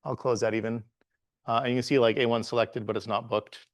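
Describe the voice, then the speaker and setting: A man in his forties, originally from the Bahamas, presents at a tech meetup in a mid-pitched voice. He speaks in an easygoing, halting way, often pausing on "uh" and restarting his sentences as he walks through slides. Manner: relaxed, halting, many "uh" fillers and restarts. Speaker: guest presenter, software engineer.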